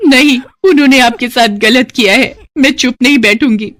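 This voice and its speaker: A woman's voice: fairly high pitch, moderate pace, fairly bright tone, voice pushed up, lightly acted. A woman playing a worried, loyal young village wife in a cartoon story, distressed and insistent. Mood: defiant. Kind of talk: acted cartoon story dialogue.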